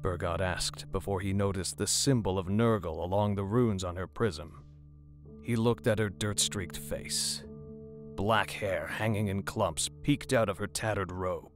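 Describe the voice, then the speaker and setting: A man narrating a dark horror story in a dramatic, scripted storytelling style. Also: deep voice, a little slow. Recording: music bed under the narration.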